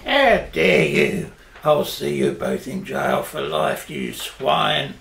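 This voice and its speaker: A man playing a gravelly blustering aristocrat. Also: medium pitch, huge pitch swings, slow, a bit bright, exaggerated voice. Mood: indignant blustering. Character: pompous rich older male aristocrat, blustering. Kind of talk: amateur radio play acting. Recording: roomy amateur recording.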